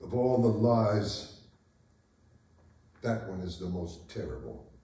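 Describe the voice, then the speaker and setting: A man's voice: on the low side, big pitch swings, takes it slowly, dark tone. A man acting a proud, bitter, aging king in a staged dramatic reading, with a theatrical, impassioned delivery. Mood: rueful, wistful. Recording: roomy, echoing hall, distant microphone.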